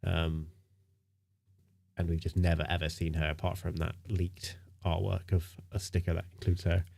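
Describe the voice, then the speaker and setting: A man's voice: sits low, level tone, moderate pace, vocal fry, a little mellow. A man streaming live in casual chat, sounding nasal from a stinking cold, rambling with lots of fillers and repeated words.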